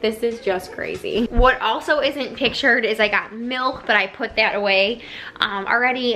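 A woman's voice: mid-range voice, a bit bright. Young woman, 20s, chatty vlogger, lots of "like" fillers, home kitchen, handheld camera close up, faint hiss. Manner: chatty, frequent "like" fillers, addresses viewers directly. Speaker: lifestyle vlogger. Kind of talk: casual haul vlog.

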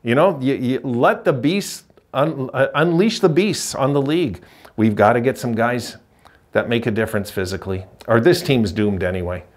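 A man's voice: medium pitch, expressive, moderate pace. A middle-aged man hosting a hockey fan channel, giving a frustrated, emphatic postgame rant straight to camera and hammering his points by repeating them. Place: indoors, green-screen backdrop.

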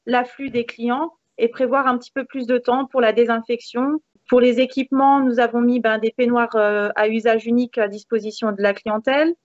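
Higher-voiced woman on a dull video call. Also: no room sound. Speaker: interview guest, hair salon manager. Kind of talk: conversational interview answers. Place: indoors in a room with a beamed ceiling. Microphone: video call, device mic.

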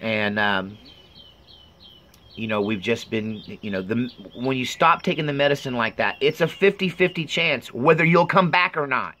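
Bright, forceful-voiced man in halting confessional talk. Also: mid-range voice, expressive, slight background noise. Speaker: vlogger. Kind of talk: personal update monologue, unscripted talk.

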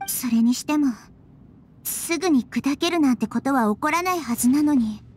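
A woman voicing a young girl goddess, calm, gentle and wise, who speaks softly and with composure. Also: high voice, normal speed, close to an everyday voice. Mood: thoughtful. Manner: soft, composed, reassuring. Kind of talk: Japanese game voice acting. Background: game music.

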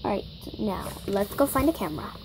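A woman's voice: medium pitch, lively intonation, a little fast, darkish tone, slight background noise, low-quality recording. A girl improvising a toy shopping scene, chatty and bossy as she runs the story. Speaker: toy video maker. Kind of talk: improvised toy play-acting.

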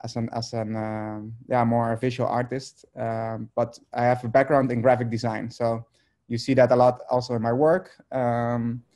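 A Dutch man in his thirties speaks English over a video call from a room at home. His voice is mellow and dark, and he talks haltingly, filling gaps with ums and restarting phrases. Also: mid-range voice, level tone. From Netherlands. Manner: hesitant, many fillers and restarts. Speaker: artist guest.

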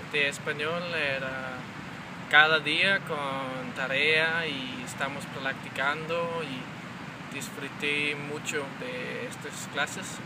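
A young man in his twenties from Denmark, speaking a learned second language with a Danish accent, talking slowly and haltingly to camera in a garden, often restarting and searching for words. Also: a little high. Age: 20s. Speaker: exchange student. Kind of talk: testimonial to camera. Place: outdoors in a garden. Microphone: camera mic at close range. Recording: loud outdoor noise.

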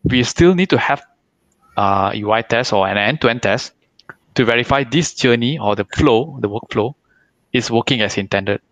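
A man's voice: medium pitch, moderate pace, fairly bright tone, no echo, low-quality recording. A man answering questions as a guest speaker, explaining his view over a headset on a video call. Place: indoor room, video call.

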